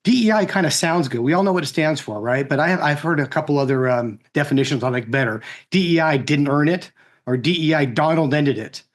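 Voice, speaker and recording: Man in his sixties, slightly high voice. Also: brisk. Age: 60s. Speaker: co-host and co-founder speaking. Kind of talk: conversational political speech introducing a guest.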